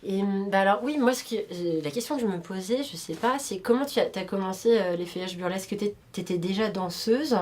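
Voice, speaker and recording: A woman's voice: medium pitch, fairly quick, few pauses, a little mellow. A woman in her thirties interviewing a performer in casual conversation, curious and chatty, often cutting in with questions. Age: 30s. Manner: chatty, curious, talks over answers.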